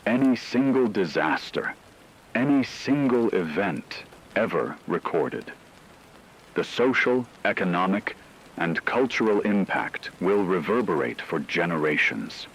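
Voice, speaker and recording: Guy playing a serious low voiced radio anchor doing a doomsday bulletin, measured and analytical, some rainy static noise behind. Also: rough recording. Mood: grave, sober. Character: grave male radio anchor reporting an apocalypse. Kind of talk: scripted emergency broadcast narration.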